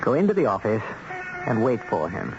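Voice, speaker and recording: A suave, coldly businesslike arranger of killings in a radio drama. He is courteous and measured, yet quietly in command. The sound is a dull old broadcast with a noisy background. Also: fairly low pitch, wildly swooping pitch. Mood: calmly commanding. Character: smooth, polite, coldly businesslike man arranging contract killings.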